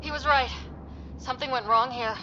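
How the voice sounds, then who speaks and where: An actress playing a determined young space explorer in a sci-fi game, earnest and resolute. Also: female voice, high voice, steady tone, normal speed, sharp tone. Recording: dull, clear background vehicle noise.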